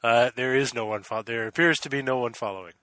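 A man narrating a tabletop game as game master, calmly describing scenes and calling for dice rolls. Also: medium pitch, fast talker.

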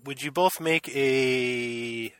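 A man running a tabletop horror game, describing scenes calmly and asking players for rolls. His voice is bright and forceful. It sounds dry and close. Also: medium pitch, drawn-out words, clear, resonant tone, dry recording. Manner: calm descriptive narration, calls for rolls. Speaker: game master.

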